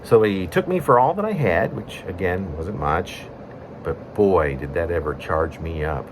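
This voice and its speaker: A man reading his own poem in a conversational storytelling way, breaking it into short phrases with pauses. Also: low-pitched, animated, noisy background. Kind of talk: spoken-word poetry reading, conversational storytelling.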